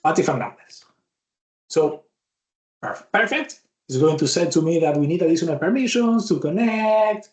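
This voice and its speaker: A man with an Argentine Spanish accent walks through a software demo as a bootcamp instructor, his voice sitting a little high for a man. He thinks out loud and restarts words as he clicks along. The sound has a roomy echo around it. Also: lively intonation, normal speed. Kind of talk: live software demo walkthrough.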